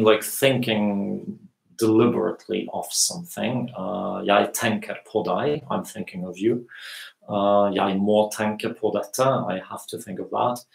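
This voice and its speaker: A man in his thirties answering viewers' questions in a relaxed live lesson, pausing often with fillers, on a webcam in a small room. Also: fairly low pitch, creaky. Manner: relaxed, thinking aloud with many fillers. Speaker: language teacher. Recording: echoey room.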